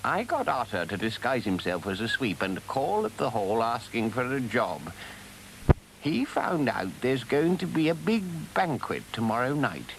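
Older male badger, acted by a man, storybook: grave, commanding, very expressive intonation, slight hiss. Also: mid-range voice, measured pace. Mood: plotting, confident. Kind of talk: animal storybook character voice.

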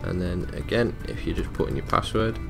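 A low-voiced man walks through a software setup as a tech support presenter. His delivery is informal and matter-of-fact, with a noticeable fry in the voice. Music plays behind him. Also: a little fast. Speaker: technical support tutorial presenter. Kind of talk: casual step-by-step software walkthrough.

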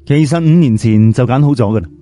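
A man's voice: medium pitch, fast, dark tone, soft background music, natural voice. A man voicing a confident, blunt jade gambler in a story, with a Cantonese accent and self-assured manner.

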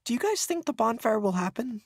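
A woman playing a high school student chatting with friends in an audio drama. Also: big pitch swings, fairly quick, husky, dry recording, studio quality. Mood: casual. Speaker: voice actor.

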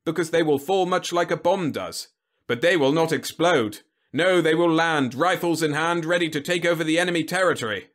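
Man putting on a loud, pitched-up blustering army general. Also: moderate pace, close to an everyday voice. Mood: brisk, assured. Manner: emphatic, blustering, snapping. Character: booming, overconfident, bullying army general, big man. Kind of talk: audiobook character acting.

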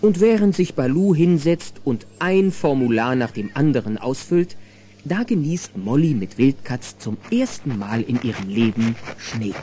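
Ein Mann als Erzähler eines Kinderhörspiels, der mit verspieltem Augenzwinkern vorträgt. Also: male voice, mid-range voice, expressive, a little slow, warm tone. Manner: storytelling, playfully wry. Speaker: narrator. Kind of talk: scripted children's audio drama narration. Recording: noisy old cassette audio drama.

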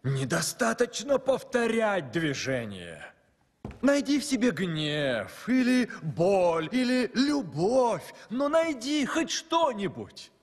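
An animated, high-voiced, theatrical ballet master. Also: male voice, slow pace, in a large hall, heavily acted. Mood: exasperated, demanding. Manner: dramatic, exacting. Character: demanding theatrical male ballet master, scornful and passionate. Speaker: ballet teacher. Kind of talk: animated film dub acting.

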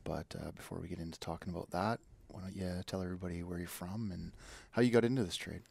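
Podcast host, male, very low gravelly voice, earnest and chatty. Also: fairly even intonation, quick pace, darkish tone, no echo. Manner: earnest, enthusiastic, many fillers and restarts. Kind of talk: casual podcast intro and interview.

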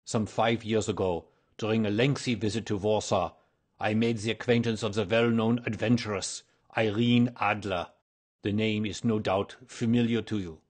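Haughty aristocratic king as a male narrator acts him, storybook character, formal, emphatic, husky, low-pitched. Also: steady tone, normal speed, close to an everyday voice. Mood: earnest. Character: proud, agitated, aristocratic Bohemian king in disguise.

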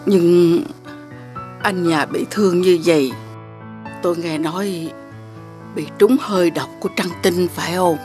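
A woman voicing a humble, concerned old village grandmother with a creaky voice in an animated fairy tale. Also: lowish voice, measured pace, long pauses, soft background music, lightly acted.

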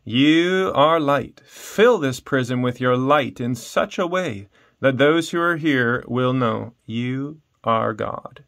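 Storybook captive priest, male, played by a man reader: devout, unbowed, earnest, measured. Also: mid-range voice, gravelly, fairly bright tone. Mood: prayerful.

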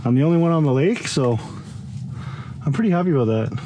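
A young man chatting excitedly to viewers while ice fishing, recorded inside a small tent on a body-worn camera. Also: on the high side, quick pace, lo-fi sound, noisy background. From Canada. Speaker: fishing vlogger. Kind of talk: casual first-person narration while fishing. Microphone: action camera worn on the body.

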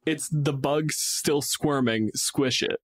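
A man's voice: on the high side, takes it slowly, no echo, studio quality. A man co-hosting a podcast, chatting casually and bluntly with plenty of swearing as he argues his opinions. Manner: blunt, profane. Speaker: podcast co-host and game master. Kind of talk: casual opinionated debate chat.

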